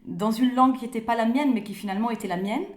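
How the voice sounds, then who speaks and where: A woman's voice: a little high, fast talker, background noise. Woman speaking French in a roomy space. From Italy. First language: Italian. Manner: hesitant, repeats words, soft laughs. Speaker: guest, playwright. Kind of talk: conversational interview. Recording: roomy echo.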